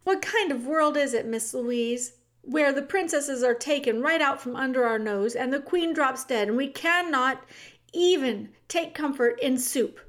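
A woman's voice: fairly high pitch, expressive. A woman voicing a distraught storybook castle cook, wailing and ferocious in her grief. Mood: indignant wailing. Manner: wailing, shouting, drawn-out.

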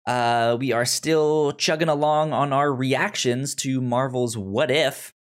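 A man's voice: mid-range voice, fairly even intonation, slow pace. A man, probably in his thirties, hosting a casual podcast chat, who stammers and repeats syllables as he talks. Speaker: podcast host.

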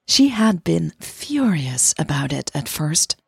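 A woman's voice: sits low, big pitch swings, takes it slowly, vocal fry, breathy edge, no room sound. A woman who is a native Dutch speaker, narrating a scripted romance audio drama close to the microphone.

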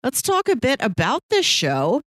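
A woman's voice: on the high side, wildly swooping pitch, normal speed, fairly bright tone, dry recording, clean studio sound. A woman co-hosting a podcast, talking casually in a conversational review and recap style. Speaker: podcast co-host.

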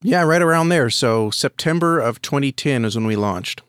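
A man's voice: medium pitch, lively intonation, moderate pace, a bit bright, smooth, no room sound, clean studio sound. A man being interviewed on a podcast, explaining at length in a conversational way and often repeating words as he finds his phrasing. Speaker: podcast guest, audio drama producer and reviewer.

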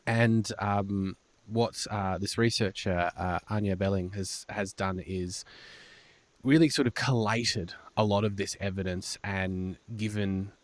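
Low-voiced male podcast host, halting delivery. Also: steady tone, no echo. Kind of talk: enthusiastic conversational interview.

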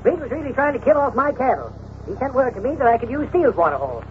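An indignant small rancher pleads and argues in a very high voice that swings widely. It is combative old-time radio acting on a tinny recording. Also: male voice, big pitch swings, fast, a little hiss or hum.

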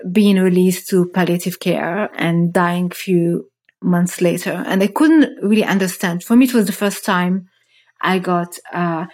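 A woman's voice: on the low side, steady tone, measured pace, a little mellow. A woman in her thirties from Morocco, telling her story as a podcast guest in an earnest, reflective way. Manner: earnest, reflective, frequent restarts. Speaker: guest, startup founder.

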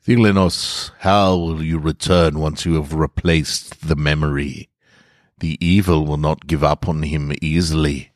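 A man's voice: rumbling low, steady tone, a little slow, no echo, clean studio sound. A man reading the part of a grave, commanding archangel in an audiobook-style story, solemn and authoritative. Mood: grave concern.

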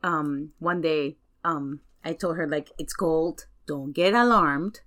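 A woman's voice: low voice, takes it slowly, a bit bright. A woman chatting casually as a podcast guest, telling stories and explaining asides as she goes.

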